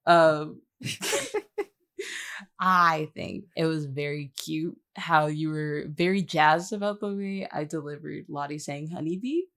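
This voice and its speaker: Low-voiced woman with a creaky edge. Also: steady tone, takes it slowly, fairly bright tone. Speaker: podcast guest, voice actor. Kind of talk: casual chat.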